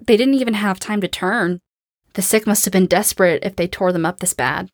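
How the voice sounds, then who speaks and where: A woman's voice: medium pitch, brisk, no echo, clean studio sound, close to an everyday voice. A woman playing a tough, determined, commanding survivor in an audio drama. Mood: wary, blunt.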